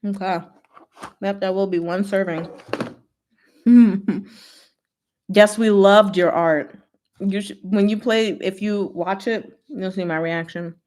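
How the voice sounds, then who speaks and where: Slow-paced woman livestream host. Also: mid-range voice, fairly even intonation, darkish tone. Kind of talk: casual livestream chat with fanfiction reading.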